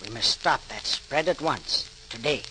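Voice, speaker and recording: An actor playing a grave, urgent doctor speaking deliberately in an old-time radio drama, on a noisy vintage recording. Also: male voice, medium pitch, sharp tone.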